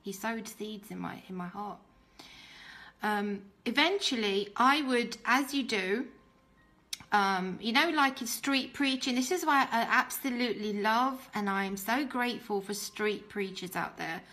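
A woman in her thirties giving an informal personal testimony to camera, speaking in an earnest, reflective, halting way and repeating phrases for emphasis. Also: on the high side, sharp tone. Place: small room at home, indoors. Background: music.

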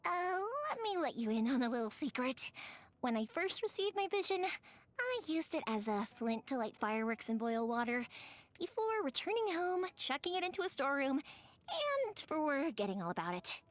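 A woman playing a cheerful, chatty young fireworks maker in a fantasy video game, expressive and playful. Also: sits high, normal speed, dry recording. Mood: chatty, confiding. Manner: bubbly, expressive, playful, talkative. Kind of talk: animated video game character voice acting.

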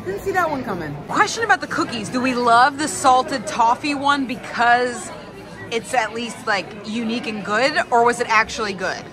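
A woman in her thirties hosting a casual food review vlog, chatty and lively, filmed at arm's length in a busy indoor restaurant. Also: a little high. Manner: chatty, lively, quick asides and laughs. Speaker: food vlogger host. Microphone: phone or camera at arm's length. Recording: noisy crowded restaurant. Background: crowd chatter.

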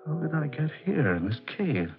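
An actor playing a tormented, weary man with a halting, intense delivery in 1940s radio style. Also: a little high, sing-song. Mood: dazed, wondering. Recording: dull 1940s radio recording, music behind.

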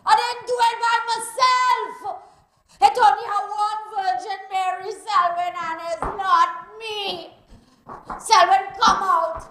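A woman's voice: extremely high pitch, fairly even intonation, slow pace, fairly bright tone, echoey room, pitched above the performer's own voice, exaggerated voice, rough recording. A woman voicing a furious scorned woman who screams insults and chants singsong taunts at her lover.

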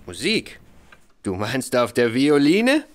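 Slurring, swooping drunken young gentleman. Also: mid-range voice, wildly swooping pitch, takes it slowly. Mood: cocky, tipsy. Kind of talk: audio drama acting.

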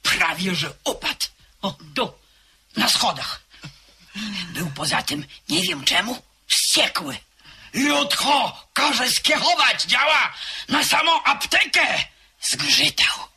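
A gossipy old servant in a radio play, with a lively, swooping pitch and a slow, relishing pace. Her tone is bright and clear, with faint noise behind her. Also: heavily acted. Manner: gossipy, theatrical storytelling. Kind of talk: radio drama acting.

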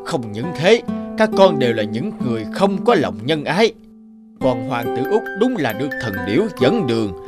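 A man voicing an old, stern but fair fairy-tale king, measured and authoritative. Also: mid-range voice, very animated, loud music behind the voice, close to an everyday voice. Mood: reproachful. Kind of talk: cartoon fairy-tale dubbing.

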